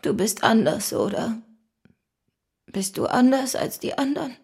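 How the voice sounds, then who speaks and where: A very husky, anxious mother. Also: on the high side, very animated, a little slow, darkish tone, full character voice. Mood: needy, clinging. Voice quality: husky, breathy. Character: worn, anxious mother, needy and evasive, clinging to her son. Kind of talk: German radio drama acting.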